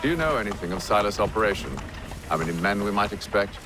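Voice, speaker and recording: A man voicing a deep, measured commander. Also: expressive, noisy background, close to an everyday voice. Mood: commanding. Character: calm, authoritative male officer commanding his men. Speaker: commander leading an ambush. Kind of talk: video game cutscene dialogue.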